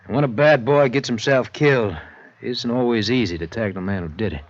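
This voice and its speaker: An American actor playing a seasoned, skeptical police lieutenant in a 1950s radio crime drama, laconic, folksy and questioning. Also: male voice, mid-range voice, a little fast, close to an everyday voice. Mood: dry, resigned. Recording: muffled, noisy vintage radio recording.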